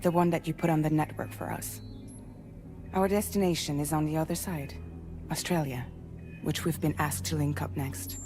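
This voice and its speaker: A woman playing a composed female commander in a video game cutscene. Her voice is low, welcoming and resolute as she guides a newcomer, with a prominent score behind her. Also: fairly even intonation, moderate pace, music in the background, natural voice. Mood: earnest, resolute. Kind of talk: cinematic video game acting.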